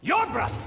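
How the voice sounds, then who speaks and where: An actor playing a smug, treacherous mercenary boss in a game, theatrical and oily. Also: male voice, sharp tone, slight background noise. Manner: oily mock politeness, theatrical swings. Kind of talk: video game cutscene acting.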